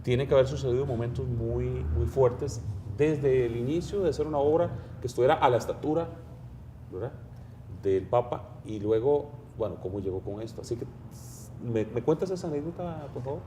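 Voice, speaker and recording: A man's voice: medium pitch, normal speed, mellow, slight background noise. A man with a Costa Rican accent hosting a TV studio interview, asking questions animatedly with hesitant fillers. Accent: Costa Rican Spanish. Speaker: TV host.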